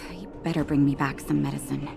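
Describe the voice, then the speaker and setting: An actress playing a calm, caring woman doctor in an English video game dub, measured and soothing with a gently wry edge. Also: extremely low pitch, creaky, music in the background, exaggerated voice. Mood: reassuring, wry.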